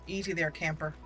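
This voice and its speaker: A woman hosting a gaming channel, giving casual, playful let's play commentary in an excitable, chatty way. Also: low-pitched. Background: game music under the voice.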